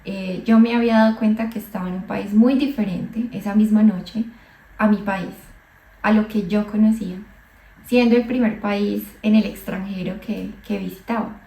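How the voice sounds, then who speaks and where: A young woman from Colombia, a psychologist, telling her own migration story slowly and thoughtfully, with hesitant restarts. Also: medium pitch, steady tone, gravelly, warm tone, far from the mic. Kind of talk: reflective personal monologue. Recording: echoey room, voice a bit off mic.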